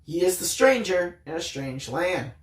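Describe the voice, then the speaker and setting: Woman reading as an earnest bookish boy narrator, lively and roomy. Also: fairly low pitch, a little slow, background noise. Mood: reflective, wistful. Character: Daniel, earnest bookish boy narrator, secretly alien hunter. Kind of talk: first-person audiobook storytelling.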